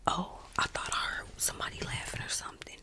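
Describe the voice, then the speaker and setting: A woman playing an embarrassed, indignant scam victim in an ASMR comedy, halting and repetitive with folksy phrasing. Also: lightly acted. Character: embarrassed, indignant girl complaining about ruined wig. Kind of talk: whispered ASMR roleplay.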